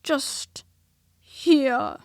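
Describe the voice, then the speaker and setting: A woman voicing a gloomy, melancholy old male donkey in a storybook reading, with a slow, drawn-out, mournful delivery. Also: darkish tone, no echo, clean studio sound.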